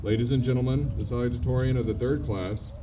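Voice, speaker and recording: A man presenting the honorees at a ceremony in a formal, deliberate way. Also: mid-range voice, steady tone. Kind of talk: formal announcement. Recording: distant outdoor mic, noisy.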